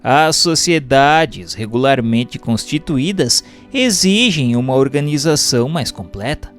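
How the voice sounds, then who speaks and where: Slow, expressive Brazilian male narrator. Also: medium pitch, faint music behind. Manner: measured, formal, didactic. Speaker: audiobook narrator reading a Spiritist book. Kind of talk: scripted narration, formal book reading.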